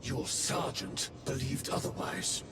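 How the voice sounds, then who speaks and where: A man playing a severe, suspicious warrior chaplain in a video game, with a breathy, hushed voice and a menacing, deliberate, formal delivery. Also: medium pitch, music in the background. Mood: accusing, cold. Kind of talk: cinematic video game acting.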